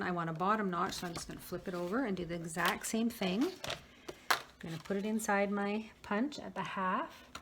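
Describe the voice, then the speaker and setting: Woman, slightly hoarse, casual craft tutorial, talks while working, noisy home recording. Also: mid-range voice. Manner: chatty, talks while working, frequent restarts. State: slightly hoarse, losing her voice. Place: craft room at a work table.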